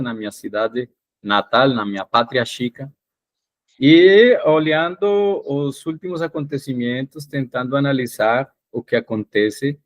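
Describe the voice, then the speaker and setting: A Colombian man, a native Spanish speaker, talks politics in a mix of Portuguese and Spanish, leaning on fillers. He has a mid-pitched voice. The sound is dry and close. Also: no room sound. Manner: mixes Spanish into his Portuguese, with frequent "digamos" fillers. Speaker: guest analyst. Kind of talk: political commentary.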